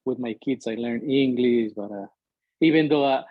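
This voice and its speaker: A middle-aged man from Ecuador with an Ecuadorian Spanish accent, a native Spanish speaker talking as a guest in halting English, searching for words with many fillers. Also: medium pitch, dry recording, phone-quality sound. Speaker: interview guest, musician. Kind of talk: conversational interview, halting English.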